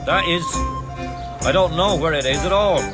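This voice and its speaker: An actor playing a gruff, evasive innkeeper with shady dealings in a video game. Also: male voice, a little high, normal speed, over loud music, lightly acted. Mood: cooperative, wary. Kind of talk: video game cutscene acting.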